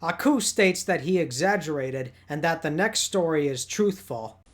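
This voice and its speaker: A man's voice: a little high, lively intonation, normal speed. A man reading a scripted recap of a cartoon episode as a video essay narrator.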